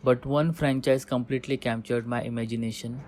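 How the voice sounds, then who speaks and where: A man in his thirties from India, speaking English with an Indian accent, narrating a scripted video essay in a measured, explanatory way. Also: mid-range voice, fairly even intonation, heavy background noise. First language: Hindi. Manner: measured, explanatory, announces sections.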